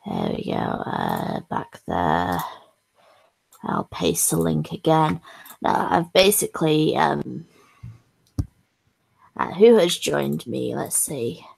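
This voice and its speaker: A woman with a voice worn hoarse talks to her stream in a low, gravelly register. She moves slowly and haltingly, slipping into whispers as she sorts things out. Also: huge pitch swings, a little mellow, rough recording. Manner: halting, whispery asides, laughs often. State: hoarse, has lost her voice. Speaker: streamer hosting a supporter stream. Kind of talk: casual chat.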